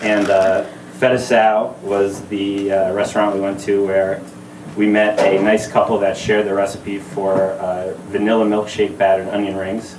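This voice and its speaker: A man in his thirties giving a casual speech to his new wife at a party, halting with many fillers and laughing asides. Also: on the low side, little pitch movement. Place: party venue with crowd. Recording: echoey room, mic at a distance, background noise.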